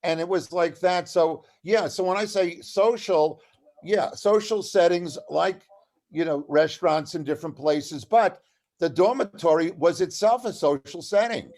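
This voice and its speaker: A man with a fairly high voice chats as a panel guest on a video call. He tells stories casually, piecing his sentences together as he goes. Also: measured pace, darkish tone. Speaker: panel guest, comedy writer recalling his career. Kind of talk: casual anecdotal chat. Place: video call from New York.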